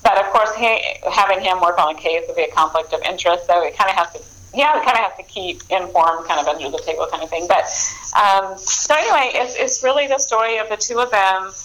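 A woman's voice: medium pitch, fast talker, fairly bright tone, poor audio. A woman author talking casually about her books in an interview, rambling with fillers and frequent laughs. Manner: rambling, lots of ums and restarts, laughs often. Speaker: author guest.

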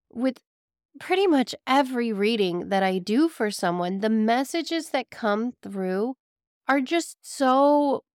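A sick woman with a raspy, weak voice talks to her listeners in an earnest, soothing way. Her voice sits a little high, and the sound is close and dry. She is a psychic medium hosting a spiritual podcast. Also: dry recording. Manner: earnest, gently reassuring, addresses listeners fondly. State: sick, hoarse. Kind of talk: casual spiritual podcast monologue.

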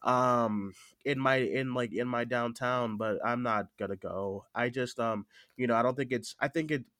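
A man with a stuffy cold hosts a casual podcast, talking in a halting way that often doubles back on phrases. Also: mid-range voice, fairly bright tone, no room sound, studio quality. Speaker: podcast host.

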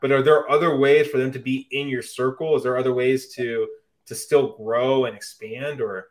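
A man's voice: mid-range voice, fairly even intonation, normal speed. A man interviewing a guest, asking loose, rambling questions that he keeps rephrasing and tagging with "right?".

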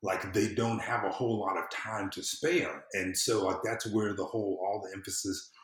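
An American man speaking as a podcast guest in casual conversation, earnest and emphatic, often halting and repeating words. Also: fairly low pitch, steady tone, fairly bright tone. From southern United States. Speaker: podcast guest, civic empowerment consultant. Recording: roomy, echoey sound.

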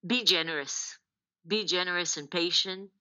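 Low bright-toned woman, halting speech. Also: lo-fi sound. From Israel. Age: 40s. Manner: thoughtful, halting, many restarts and fillers, laughs often. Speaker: novelist guest. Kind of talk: podcast interview, personal storytelling.

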